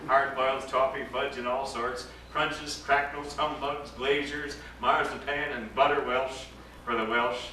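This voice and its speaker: A man, an actor, giving an expressive, dramatic reading of a literary story aloud. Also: fairly high pitch. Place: indoor live reading to a gathering. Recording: echoey room, distant mic, background noise.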